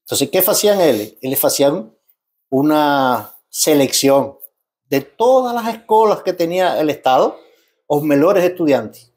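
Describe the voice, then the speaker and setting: A man's voice: fairly high pitch, animated, a little slow, a little mellow. Cuban man mixing Spanish and Portuguese. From Cuba. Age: 50s. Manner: mixes Spanish and Portuguese, frequent restarts and tag questions. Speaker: interview guest. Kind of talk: casual interview talk.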